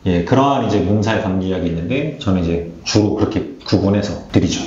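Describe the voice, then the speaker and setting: A man's voice: sits low, animated, brisk, darkish tone, slight background noise. A man speaking as a pharmacist, explaining health matters step by step in conversation, often confirming with short affirmations. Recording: roomy, slightly dull sound.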